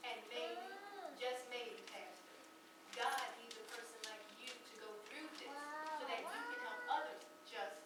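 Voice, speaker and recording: Frank, caring female hospital worker woman acting in a stage play, preachy and animated, in a distant, very noisy hall recording. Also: fairly high pitch, a little slow. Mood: passionate, encouraging. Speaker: stage actor.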